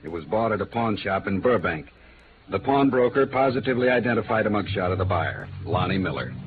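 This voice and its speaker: Actor as low-pitched, gruff police lieutenant. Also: male voice, expressive, brisk, lightly acted. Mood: blunt, insistent. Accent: American. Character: gruff, matter-of-fact male police lieutenant. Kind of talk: 1950s radio drama acting. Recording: noisy, dull old radio recording.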